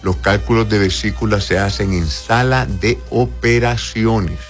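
A man's voice: on the low side, steady tone, measured pace, faint music behind. A man speaking as a doctor hosting an advice show, conversational and avuncular, answering listeners with endearments and frequent checking tags. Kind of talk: conversational medical advice, reading messages aloud.

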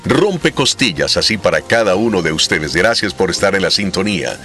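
A man's voice: sits low, very animated, moderate pace, over music. A man hosting a faith testimony program, greeting his audience and interviewing a guest in a formal broadcast manner.

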